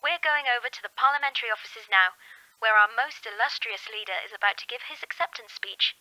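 A woman's voice: a little high, fairly quick, pushed and bright, no echo, rough recording. A woman playing a formal, chirpy news anchor reading state propaganda in a radio drama. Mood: upbeat, reverent. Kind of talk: scripted news broadcast.

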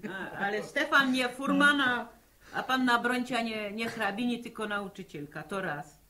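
An actress playing an earthy, opinionated middle-aged village housewife, scolding in a folksy way, in 1960s Polish radio drama style. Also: female voice, fairly high pitch, moderate pace, pushed and bright. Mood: opinionated, mocking. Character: blunt, earthy, grumbling village mother and housewife.

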